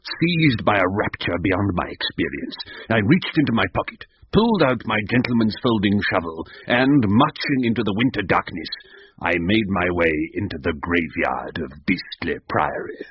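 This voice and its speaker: Deep-voiced, pompous gothic gentleman narrator. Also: lively intonation, normal speed, breathy edge, dry recording. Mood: ominous, rapt. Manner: florid, mock-gothic, pompously verbose. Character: pompous gentleman adventurer recounting his horror tale. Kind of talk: comic radio horror narration. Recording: muffled radio recording with music behind.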